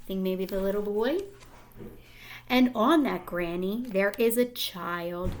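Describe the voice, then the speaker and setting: A woman teacher reading a picture book aloud to young children, deliberate and expressive, prompting them with questions. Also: mid-range voice, slight background noise.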